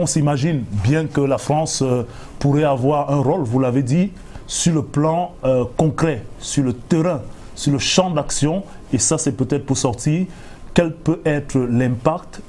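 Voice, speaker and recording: A man with a Cameroonian accent hosting a formal current-affairs interview, building long, deliberate questions clause by clause. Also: medium pitch, dark tone, slight background noise. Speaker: TV interviewer, host.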